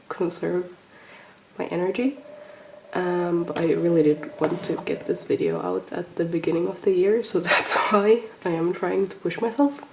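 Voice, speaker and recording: Low, gravelly woman rambling through a vlog. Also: darkish tone, a little hiss or hum, low-quality recording. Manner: rambling, lots of ums and restarts. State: slightly sick and nasal. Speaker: collector showing her photocard binder. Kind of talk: casual show-and-tell vlog.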